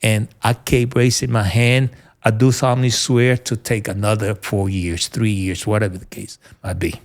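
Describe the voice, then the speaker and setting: A middle-aged man with a New York accent, a military veteran answering interview questions earnestly, often pausing to search for words. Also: on the low side, flat delivery. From United States. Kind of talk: conversational interview.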